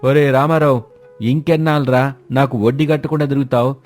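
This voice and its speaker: A man voicing an angry, fed-up moneylender in a story, shouting his demands. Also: medium pitch, fairly even intonation, brisk, pitched above the performer's own voice, close to an everyday voice. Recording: music behind the voice.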